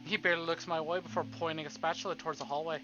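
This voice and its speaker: A man's voice: fairly high pitch, steady tone, rapid-fire, muffled recording, over music. A man streaming a visual novel, reading it aloud theatrically with playful, casual asides.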